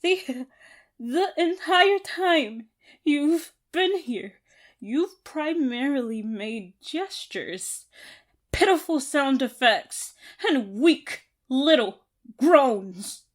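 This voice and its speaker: A woman playing an unhinged, possessive young captor in an ASMR roleplay, gloating and obsessive, with a deliberate, theatrical delivery. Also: high-pitched, animated, heavily acted. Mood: indignant, menacing. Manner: deliberate, theatrical, broken by giggles.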